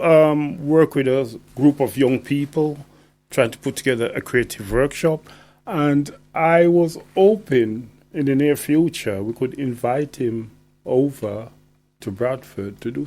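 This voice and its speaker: A man talking as a poet guest on radio, deliberate and emphatic, often checking he is understood. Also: a little high. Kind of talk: conversational radio interview.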